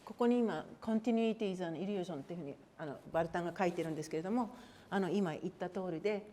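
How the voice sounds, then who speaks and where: A woman who interprets and moderates at a lecture, interjecting rapidly. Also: lowish voice, warm tone, slight background noise. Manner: interjects rapidly, switching between languages.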